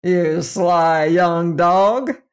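Woman playing a loud, lecherous old business boss. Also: lowish voice, drawn-out words. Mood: hearty. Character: brash, lecherous older male paint-company boss.

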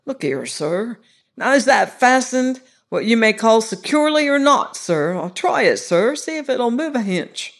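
A man's voice: very high voice, sing-song, measured pace, a bit bright, pitched above the performer's own voice, full character voice. A man reading aloud voices a servile old ship steward who drops his aitches and keeps saying "sir". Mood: insistent.

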